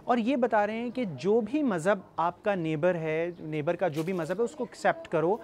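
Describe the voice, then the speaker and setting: Pakistani woman in interview, lowish voice, repeats phrases, mixes english in. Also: darkish tone. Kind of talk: interview answer.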